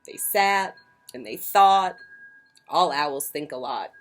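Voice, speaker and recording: A woman hosting a children's story time, reading picture books aloud in a theatrical, emphatic way with swells and hushes. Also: low-pitched, expressive, slow pace. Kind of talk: expressive picture-book read-aloud. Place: outdoors on a porch.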